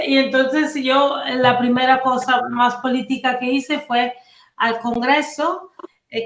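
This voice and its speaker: A woman from Naples with an Italian accent, a native Italian speaker, telling personal stories as an activist guest in a casual interview, animated and full of restarts. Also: a little high. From Italy, Naples. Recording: roomy echoey room.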